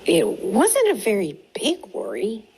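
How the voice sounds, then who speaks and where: A woman reading a picture book aloud to young children in an expressive, deliberate way with dramatic pauses. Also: medium pitch. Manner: slow, deliberate, animated storytelling with dramatic pauses. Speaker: storytime reader for young children. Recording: clearly audible background noise.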